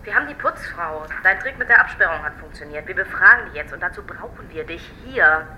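An actress playing a brisk, businesslike female police officer on the phone in a radio crime drama. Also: on the low side, expressive, pushed and bright, a little hiss or hum, low-quality recording.

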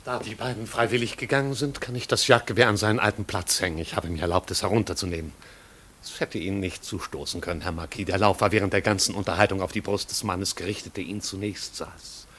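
An actor playing a dry, deferential yet disapproving manservant in a 1950s radio play, speaking formally and with measured irony. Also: male voice, deep voice, fairly even intonation, fairly bright tone. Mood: dry, correct. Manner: formal, measured, drily ironic. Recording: noisy 1950s radio drama recording.

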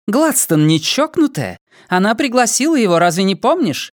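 A man voicing an imperious noblewoman who interrogates a prisoner in an audiobook, with a sharp, commanding manner. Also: high voice, sing-song, normal speed, dry recording, studio quality, voice pushed up. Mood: urgent, commanding. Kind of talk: audiobook character voice.

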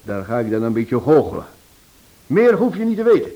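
A man's voice: medium pitch, big pitch swings, fairly quick, warm tone, natural voice, low-quality recording. A man playing a suave, teasing amateur sleuth and husband in a radio drama, polished and playfully condescending. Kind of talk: Dutch-language radio drama acting.

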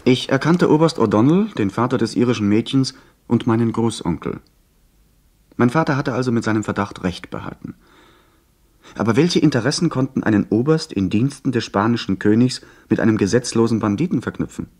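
An actor playing an earnest young gentleman who narrates his adventure in a measured, literary way for a radio play. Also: medium pitch, animated, close to an everyday voice. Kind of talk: scripted first-person radio play narration.

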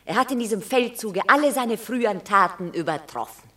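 A woman's voice: medium pitch, animated, fairly bright tone, natural voice. A woman voicing a proud Roman mother. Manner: measured, solemn verse delivery. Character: proud stern Roman mother of a war hero. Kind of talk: classic radio play acting.

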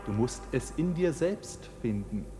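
An actor playing a stern priest of a court in a radio drama, solemn and sermonizing. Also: male voice, mid-range voice, animated, unhurried, music in the background, natural voice. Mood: solemn, cryptic. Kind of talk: dramatic radio play acting. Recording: long church-like reverb, background ambience.

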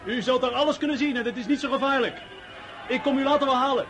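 High-voiced, courteous officer on the battlefield. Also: male voice, fast. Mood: courteous, brisk. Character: courteous officer, calm under fire, guiding a count. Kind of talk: radio drama acting. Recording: loud artillery and horses behind.

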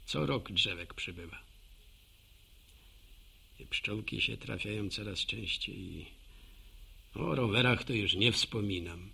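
An actor playing a chatty, homespun village postman with a gravelly voice, rambling in a folksy monologue for a radio drama. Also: fairly low pitch, slow pace, long pauses, bright, forceful tone, slight background noise, heavily acted. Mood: musing, proud. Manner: folksy, rambling, musing aloud to himself and listeners. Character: chatty, homespun old village postman, proud local gossip.

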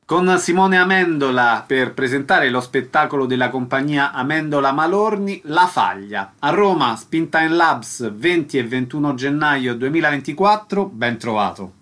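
A man's voice: mid-range voice, animated, moderate pace, hardly any pauses, fairly bright tone. A man hosting a radio show, reading out listings and introducing a guest. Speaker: radio host. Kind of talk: scripted radio announcing and interviewing.

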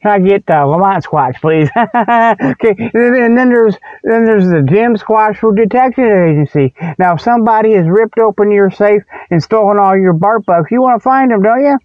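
A man's voice: high-pitched, moderate pace, no echo. A man hosting a comedy radio show, ad-libbing spoof announcements and mock ads in a folksy, rambling, jokey way. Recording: dull, dry lo-fi audio.

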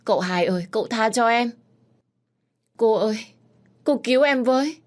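A woman voicing a frightened young female servant who begs in a high, tearful voice. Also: expressive, normal speed, lightly acted. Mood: pleading.

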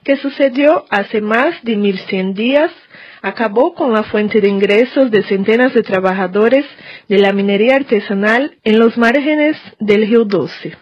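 A woman correspondent reading a formal radio news report in a steady manner. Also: a little high, a little slow, no echo. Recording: dry, dull-toned studio recording.

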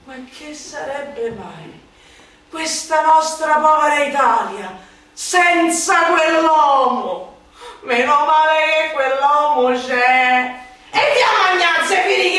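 Female stage performer, higher-pitched, declamatory and sardonic satirical recitation, distant and noisy recording. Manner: declamatory, sardonic, swinging from hushed to shouted. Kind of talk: theatrical radio recitation of a satirical pamphlet. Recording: echoey hall, distant mic, noisy.

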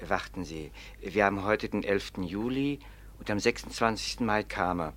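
An actor playing a simple, kindly prison warder in a 19th-century radio play, deferential, earnest and a bit halting. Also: male voice, fairly low pitch, a bit bright, lightly acted. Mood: earnest, confiding.

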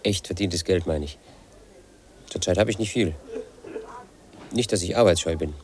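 A man's voice: deep voice, moderate pace, a little mellow, lightly acted. An actor in his late thirties playing a lonely, suspicious house painter, speaking a bitter inner monologue in a radio drama. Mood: brooding. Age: late 30s. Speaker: protagonist narrating his life.

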